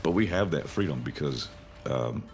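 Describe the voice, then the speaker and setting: A man's voice: very deep voice, expressive, normal speed, creaky. A man who works as a game developer, answering interview questions in a rambling way, thinking aloud with lots of fillers.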